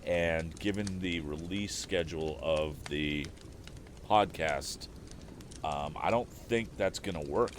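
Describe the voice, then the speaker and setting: A man's voice: sits low, slow pace, slight background noise. A man hosting a livestream, chatting casually and thinking aloud between stretches of book reading. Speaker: storytime livestream host and reader. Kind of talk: casual stream chat and book narration.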